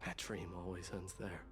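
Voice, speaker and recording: Deep, brooding warrior prince. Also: male voice, exaggerated voice. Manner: measured, solemn, formal. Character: brooding exiled warrior prince haunted by dreams.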